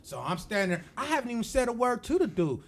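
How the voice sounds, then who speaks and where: High-voiced, gravelly man telling a story. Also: quick pace, a little hiss or hum. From United States. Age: middle-aged. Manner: lively, acts out voices of people in his stories. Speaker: podcast guest. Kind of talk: animated storytelling.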